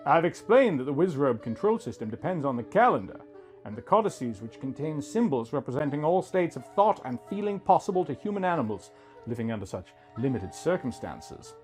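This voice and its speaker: A man reading a scripted first-person story as a dramatic narrator, in a theatrical, deliberate manner. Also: on the high side, sing-song, darkish tone, soft background music.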